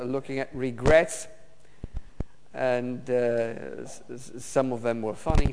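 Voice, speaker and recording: A man from Romania, preaching a personal testimony sermon in a halting way, with many fillers and restarts. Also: mid-range voice, darkish tone, clean tone. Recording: long reverb of a hall.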